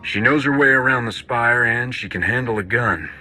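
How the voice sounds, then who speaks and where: A man's voice: mid-range voice, normal speed, sharp tone, soft background music. A man playing a resolute, pragmatic rebel commander in a video game, firm and matter-of-fact. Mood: businesslike. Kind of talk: video game cutscene acting.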